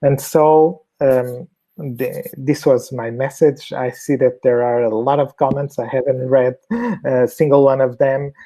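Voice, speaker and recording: Portuguese-accented man, dark and flat. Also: mid-range voice, measured pace, low-quality recording. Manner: hesitant, many fillers and restarts. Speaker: fertility clinic doctor, webinar speaker. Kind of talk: webinar presentation.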